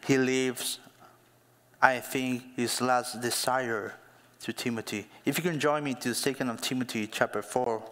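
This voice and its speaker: A man in his thirties preaching a sermon as a pastor, speaking as an in a slow, emphatic way that builds to louder calls to action. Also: medium pitch, fairly even intonation. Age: 30s. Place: church hall. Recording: long hall reverb.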